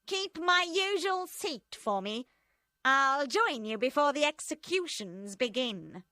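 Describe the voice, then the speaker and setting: A woman voicing a cold, vengeful female revolutionary in an audiobook, speaking slowly and emphatically. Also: high-pitched, big pitch swings, pushed and bright, no echo, studio quality, pitched above the performer's own voice, full character voice. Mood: commanding.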